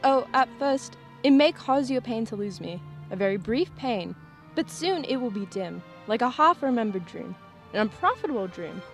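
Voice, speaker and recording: A woman's voice: fairly high pitch, animated, normal speed, faint music behind. A woman playing an earnest young sweetheart in a radio play, with a theatrical delivery. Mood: sorrowful resolve. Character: earnest, sorrowful young sweetheart, later a wife.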